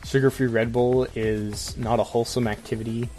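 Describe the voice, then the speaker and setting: A man's voice: medium pitch, level tone, normal speed, darkish tone, faint music behind, poor audio. A man co-hosting a podcast in casual, opinionated chat, speaking in a rambling, hesitant way full of filler "like"s. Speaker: podcast co-host.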